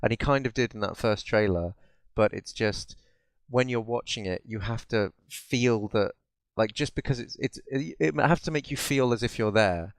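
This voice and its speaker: A man's voice: medium pitch, moderate pace, clear, resonant tone, no echo, clean studio sound. A man co-hosting a podcast, chatting casually about films in a rambling way. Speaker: podcast co-host. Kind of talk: casual film chat.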